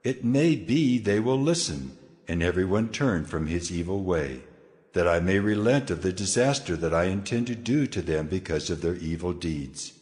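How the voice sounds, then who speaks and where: A very deep voice of a male actor rolls out in long, cavernous reverb as God. The delivery is solemn and commanding, with wide swings in pitch, in a scripture drama. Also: expressive, normal speed, darkish tone.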